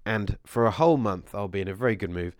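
Deep-voiced man with a cold. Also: quick pace, no room sound, studio quality. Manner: meandering, with many restarts and asides. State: has a cold, losing his voice. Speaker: vlogger. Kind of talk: casual rambling chat to camera.

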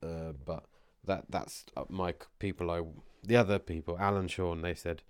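Male vlogger, low voice, dry close sound, slightly husky from a cold, rambling casual chat. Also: steady tone, normal speed, no room sound. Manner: meandering, with many restarts and asides. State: has a cold, losing his voice.

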